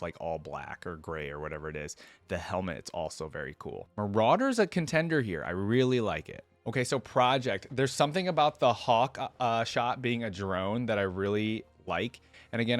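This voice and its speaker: A man's voice: lowish voice, no echo. A man hosting a reaction video, commenting casually and informally as he muses and thinks aloud.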